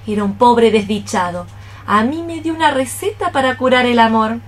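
A woman's voice: a little high, lively intonation. An actress playing a scolding, scheming old mother cockroach in a theatrical, exclamatory radio play style. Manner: exclamatory, theatrical, drawn-out phrases. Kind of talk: radio theatre acting in archaic poetic Spanish.

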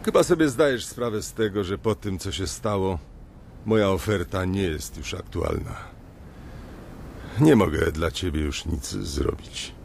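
A man's voice: low-pitched, expressive, a little slow, gravelly, a little mellow, slight background noise, full character voice. An actor playing a menacing older male banker who bullies and mocks a young man in a radio drama. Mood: cold. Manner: scornful, bullying, mocking.

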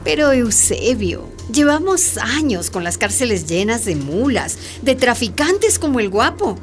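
An actress playing an earnest, didactic town mayor with a Latin American accent and emphatic key words in a radio drama. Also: female voice, on the high side, big pitch swings, measured pace, faint music behind. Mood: firm, insistent. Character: earnest, worldly-wise female town mayor, explaining patiently.

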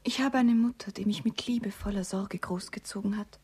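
An actress playing a gentle, anguished, dutiful young bride in a classic radio play, very breathy. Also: female voice, medium pitch, fairly even intonation, fairly quick, natural voice. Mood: anguished, tender. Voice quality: very breathy, creaky.

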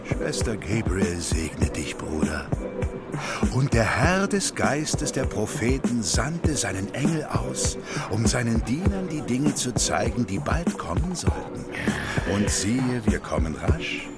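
An actor playing a pious street preacher begging for alms, chanting solemnly and sanctimoniously in a German radio play. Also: male voice, medium pitch, very animated, slow pace, loud music behind the voice. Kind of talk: German radio crime drama acting.

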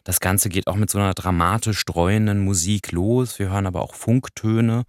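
A man's voice: on the low side, fairly even intonation, moderate pace, dry recording. A man talks through a review as a podcast co-host. He explains things conversationally, adding small qualifying asides, and the sound is close and dry with no room on it. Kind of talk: conversational radio play review.

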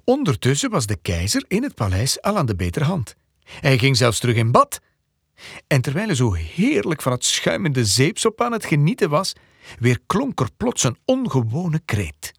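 A man narrating a scripted fairy tale as a storyteller, with a husky, breathy voice. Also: fairly high pitch, huge pitch swings, normal speed, dry recording. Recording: dry, close, faint music behind.

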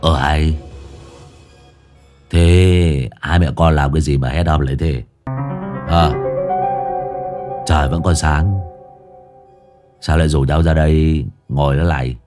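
A man's voice: rumbling low, steady tone, slow pace, pauses often, over music, voice pushed down. A man doing a crude, slurring opium-addict husband. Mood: gruff puzzled. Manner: coarse, slurred, threatening. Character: rough foul-mouthed opium-addicted husband.

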